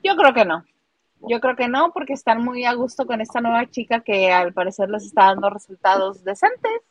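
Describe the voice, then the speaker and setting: A woman's voice: on the high side, big pitch swings, normal speed, no echo. A woman with a Mexican accent co-hosting a casual celebrity gossip live stream, playful and teasing as she talks and reads out viewer comments.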